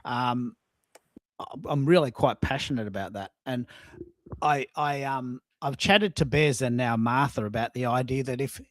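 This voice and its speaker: A man hosts a relaxed conversation with a mid-pitched voice. He is informal and self-deprecating, restarting phrases as he goes. The sound is dry, with little room in it. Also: no room sound. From Australia. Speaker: host. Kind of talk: casual chat.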